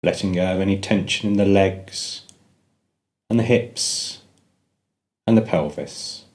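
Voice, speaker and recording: Male meditation guide, low-pitched voice, gentle measured delivery with pauses.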